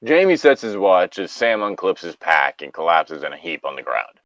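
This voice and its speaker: A man's voice: low-pitched, big pitch swings, normal speed, fairly bright tone, no echo, lo-fi sound. A man reading a story aloud and breaking off into casual, joking, rambling, profane commentary. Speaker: reader and commentator of online stories.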